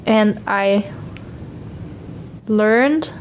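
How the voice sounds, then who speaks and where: A woman's voice: mid-range voice, very slow, lo-fi sound, slight background noise. A young woman from Denmark with a Danish accent, a native Danish speaker chatting in an interview about her exchange studies in an upbeat, talkative way. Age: young adult. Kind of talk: casual interview chat.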